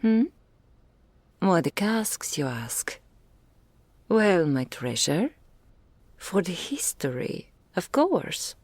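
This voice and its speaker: A woman's voice: fairly low pitch, big pitch swings, drawn-out words, pauses often, a little mellow, dry recording, exaggerated voice. A woman voicing a doting, regal female dragon in human form, speaking intimately to the listener in ornate, archaic phrases as an ASMR roleplay. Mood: fond, wistful.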